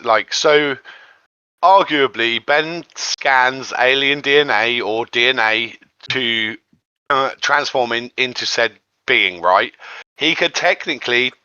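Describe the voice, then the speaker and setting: Bright, forceful man in casual debate. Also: medium pitch, dry recording. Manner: emphatic, repeats points for stress. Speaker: guest panelist. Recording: dull, close, dry microphone sound.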